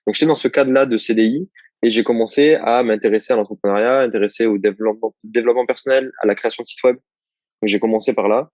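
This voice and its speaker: A man's voice: mid-range voice, fast, a little mellow, no room sound, lo-fi sound. A young man from southern France, answering interview questions about his work as a copywriter in a loose, rambling way, full of fillers and restarts. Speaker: guest, copywriter. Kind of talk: casual interview chat.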